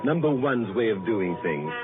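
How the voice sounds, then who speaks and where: A man's voice: lowish voice, very animated, moderate pace. An actor playing an uneasy but loyal male member of a secret society in an old radio drama, speaking in an understated, confiding way. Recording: tinny old radio, music behind.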